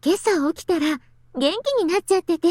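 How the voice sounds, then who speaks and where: A woman voicing a bright, affectionate young girl in an anime visual novel. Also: very high voice, racing, dry recording. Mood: cheerful, teasing. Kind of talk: Japanese anime game voice acting. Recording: dry studio voice over soft music.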